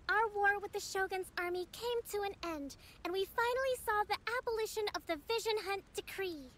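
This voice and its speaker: An actress voicing a gentle, dignified young female leader in a video game, formal, measured and earnest. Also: very high voice, a little hiss or hum. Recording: faint game music behind.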